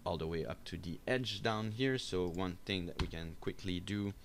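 A man giving an unscripted software screencast tutorial, explaining step by step in a halting, hesitant way. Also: low-pitched, a little hiss or hum. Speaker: tutorial instructor. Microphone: voice-over for a screen recording.

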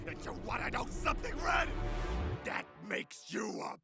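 Guy playing a big gruff touchy fighter dude, game parody, indignant, swingy voice over music. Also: high voice, wildly swooping pitch, moderate pace, bright, forceful tone, exaggerated voice. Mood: furious, hurt. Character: big gruff, wounded, short-tempered man.

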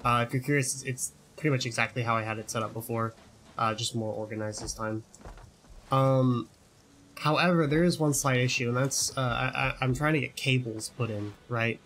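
A man's voice: mid-range voice, steady tone, normal speed, fairly bright tone, slight background noise. A young man giving casual tutorial commentary over gameplay, chatty, often repeating words and asking "right?". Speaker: gaming YouTuber.

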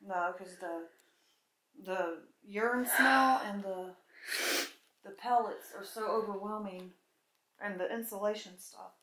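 A woman's voice: mid-range voice, takes it slowly, pauses often. Blunt middle-aged woman, slightly noisy location audio. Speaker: co-host, nurse. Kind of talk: casual on-location chat. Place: abandoned house and its yard. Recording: noisy handheld camera audio with clicks.

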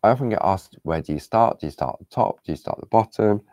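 A man hosting a live craft-along, chatting casually and rambling as he works, with a hoarse voice after days of talking. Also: fairly low pitch, animated, quick pace, darkish tone, no room sound, studio quality. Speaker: craft demonstrator. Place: craft studio table. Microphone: overhead camera on hands, speaker unseen.

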